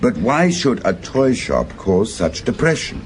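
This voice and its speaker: Urbane friend, lowish voice, lively intonation. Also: male voice, measured pace, slight background noise. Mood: probing. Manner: urbane, teasing, gently probing. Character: genial, urbane, probing friend of a detective. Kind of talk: radio drama acting.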